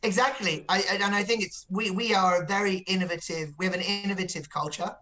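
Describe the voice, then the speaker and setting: A man's voice: high-pitched, fairly even intonation, quick pace, pushed and bright, poor audio. A man giving a business interview as a company executive, explaining things with frequent repeated words.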